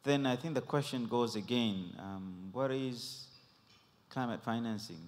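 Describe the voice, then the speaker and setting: Halting man questioning from the floor. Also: medium pitch. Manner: halting, many fillers, searching for words. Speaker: audience member asking a question. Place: conference hall. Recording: long reverb in a hall.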